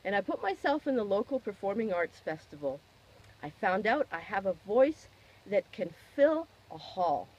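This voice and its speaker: A woman speaks in a mid-pitched voice with a husky edge. She delivers a first-person testimonial, stressing her points expressively as she recounts her own experience. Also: sing-song, moderate pace, darkish tone, lo-fi sound. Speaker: client giving a testimonial.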